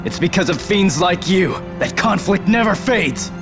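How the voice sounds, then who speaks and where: Righteous boyish game hero, high-pitched and fervent over dramatic music. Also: male voice, lively intonation, moderate pace. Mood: resolute. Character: impassioned, righteous young male hero. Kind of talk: dramatic video game voice acting.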